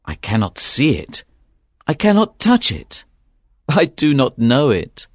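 A woman's voice: a bass voice, big pitch swings, slow pace, no room sound, studio quality, pitched below the performer's own voice, full character voice. A woman reading a storybook aloud, voicing a young male fisherman who pleads earnestly. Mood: weary.

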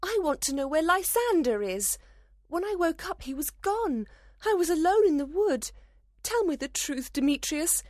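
A man voicing a distraught, fiery young woman in a storybook, shrill, pleading and emphatic. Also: big pitch swings, normal speed, no room sound, clean studio sound. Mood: angry. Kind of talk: storybook character voice.

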